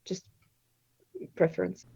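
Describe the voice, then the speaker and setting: A woman's voice: slow, dark tone, no echo, lo-fi sound. A woman in her twenties from Estonia, a native Russian speaker, answering interview questions in a hesitant way with many fillers. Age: 20s. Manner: hesitant, with frequent fillers and restarts. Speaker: interview guest, streamer.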